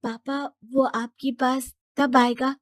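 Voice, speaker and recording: A woman's voice: high voice, level tone, takes it slowly, no echo, studio quality. A woman voicing an earnest, stubborn young woman in love, pleading and insistent, in a cartoon story.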